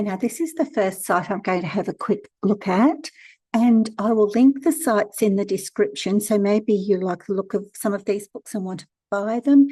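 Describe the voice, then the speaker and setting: A hoarse woman, hosting a casual screen-share tutorial and giving chatty, direct, practical advice. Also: mid-range voice, warm tone, no echo. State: hoarse from a cold. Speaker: e-commerce consultant and channel host.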